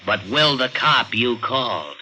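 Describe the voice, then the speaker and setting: A man's voice: medium pitch, animated, measured pace. An actor playing a menacing, slangy tough-guy cab driver in a 1950s radio thriller. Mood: coldly threatening. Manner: slangy tough-guy talk, softly threatening. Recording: hissy old 1950s radio recording.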